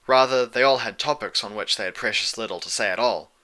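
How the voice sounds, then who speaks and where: A man's voice: mid-range voice, fast talker, pushed and bright. A man reading fan fiction aloud as scripted story narration.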